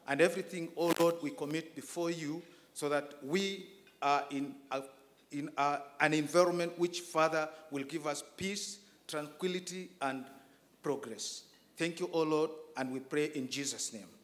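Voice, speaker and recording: A man leading a public prayer in unscripted, formal phrases. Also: fairly high pitch. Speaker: prayer leader. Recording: long hall reverb.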